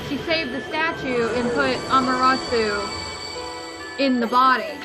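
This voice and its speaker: A woman's voice: high voice, measured pace, poor audio. A woman co-hosting a let's play, giving casual, informal and opinionated gameplay commentary. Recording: game music behind the voice.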